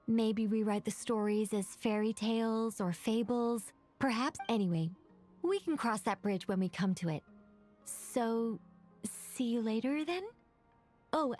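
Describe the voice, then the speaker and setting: High-voiced, rambling, eager scholarly mystic. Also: female voice, animated, measured pace, darkish tone, soft background music. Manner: flustered, wordy, trailing off and correcting herself. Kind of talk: anime-style video game acting.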